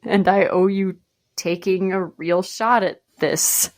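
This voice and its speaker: A woman's voice: mid-range voice, takes it slowly, darkish tone, lightly acted. An actress playing a nervous, self-mocking young woman who feels queasy, in a radio play. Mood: anxious. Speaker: actor.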